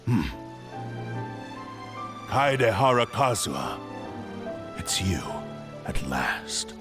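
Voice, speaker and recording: A man's voice: lowish voice, sing-song, drawn-out words, music in the background, heavily acted. A man voicing a proud, bitter ancient sword spirit in a video game, with a grandiose, formal and ominous manner. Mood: menacing.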